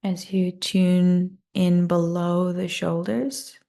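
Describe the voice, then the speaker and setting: Slow, low-voiced woman guiding meditation. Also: flat delivery, gravelly. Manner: soothing, unhurried, gently inviting. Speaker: meditation guide. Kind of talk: guided meditation.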